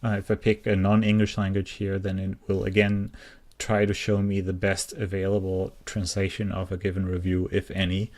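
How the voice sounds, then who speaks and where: A man's voice: low-pitched, completely flat delivery, moderate pace, a little mellow. A man walking through a website in a screencast, explaining plainly with many uh and um fillers. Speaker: software project founder giving a demo. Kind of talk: screencast walkthrough.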